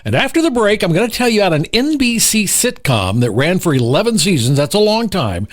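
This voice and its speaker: A man's voice: high voice, big pitch swings, fairly quick. A man hosting a music radio show in DJ patter, chatty and given to joking asides. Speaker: radio host. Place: home studio. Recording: music bed under the voice.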